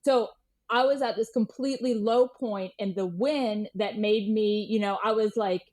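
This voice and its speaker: A middle-aged woman in a casual interview, talking in a medium-pitched voice. She is chatty and candid, telling anecdotes with a self-deprecating streak. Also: moderate pace, darkish tone. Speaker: guest journalist and writer.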